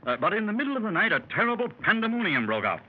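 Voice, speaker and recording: Here is a dramatic male explorer from a 1930s radio adventure. His voice is high and swings widely as he tells the tale, and it turns to urgent shouted orders when the chase begins. The sound is a muffled old radio recording with faint hiss. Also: sing-song, racing. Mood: grave. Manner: dramatic storytelling, shouting orders in the action scene. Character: daring male explorer recounting a jungle escape. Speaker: club member telling his adventure.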